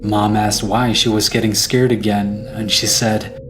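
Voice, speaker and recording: Slow, low-voiced man narrating horror. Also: level tone. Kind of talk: scripted horror story narration. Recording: music behind the voice.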